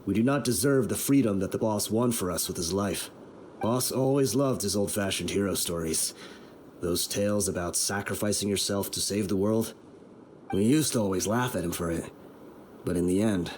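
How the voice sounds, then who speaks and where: A man as solemn, low-voiced desert outlaw. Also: a little mellow, slight background noise, close to an everyday voice. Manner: solemn, deliberate storytelling. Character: male desert outlaw leader, solemn, guilt-ridden, vengeful. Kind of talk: video game voice acting. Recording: music behind the voice.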